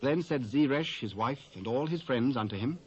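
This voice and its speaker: A man with a British accent reading scripture as a measured, formal narrator. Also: mid-range voice, lively intonation, darkish tone, husky. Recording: dry, dull old cassette transfer.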